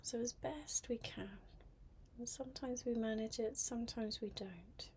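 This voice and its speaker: A woman guiding a mindfulness meditation in a soft, breathy, half-whispered voice, gently and with long pauses. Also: on the high side, slight background noise. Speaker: meditation guide.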